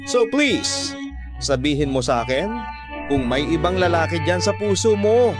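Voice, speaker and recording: A man's voice: fairly high pitch, lively intonation, measured pace, over loud music, voice pushed up. A Filipino man playing an intense, jealous, lovestruck young suitor in a radio love drama. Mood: pleading, intense.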